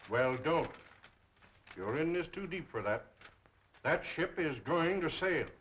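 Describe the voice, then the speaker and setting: Pressing business partner, dull old soundtrack. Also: male voice, a little high, animated, takes it slowly, fairly bright tone, a little hiss or hum. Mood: menacing. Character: insistent, scheming male business partner, coldly businesslike. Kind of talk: 1930s film acting. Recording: dull 1930s film soundtrack.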